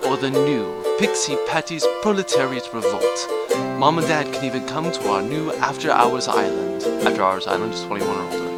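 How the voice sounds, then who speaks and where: An upbeat male commercial announcer, acted by a man sells a theme park in a parody radio spot. His mid-range voice rides over loud strummed ukulele. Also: mid-range voice, close to an everyday voice.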